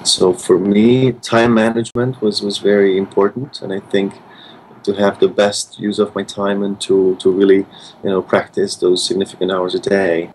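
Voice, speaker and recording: A man's voice: on the low side, level tone, moderate pace, a little mellow, slight background noise, low-quality recording. A man of thirty from Hungary with a Hungarian accent, a pianist answering interview questions thoughtfully, often restarting his sentences. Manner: thoughtful, many restarts and fillers. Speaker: pianist guest.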